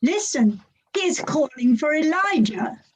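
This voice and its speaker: Curious bystander for scripture drama, female reader: high-pitched, unhurried, declamatory. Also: sing-song, slight background noise, exaggerated voice. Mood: expectant. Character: curious bystander at an execution, calling others to watch.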